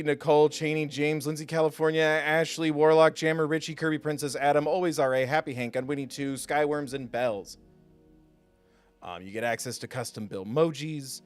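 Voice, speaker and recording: A man hosting a video game livestream, chatting casually and haltingly with viewers in a self-deprecating, joking way. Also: medium pitch, clear, resonant tone. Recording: music behind the voice. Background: music.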